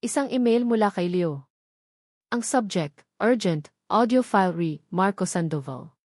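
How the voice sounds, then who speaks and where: A woman's voice: mid-range voice, expressive, unhurried, a little mellow, no room sound. Filipino woman narrating dramatically, dry sound. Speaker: audiobook narrator. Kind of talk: dramatic scripted story narration.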